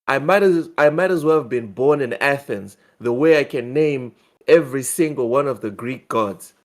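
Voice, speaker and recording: A man co-hosting a casual gaming news show, talking excitedly and often repeating words as he thinks. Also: mid-range voice, normal speed. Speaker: podcast co-host.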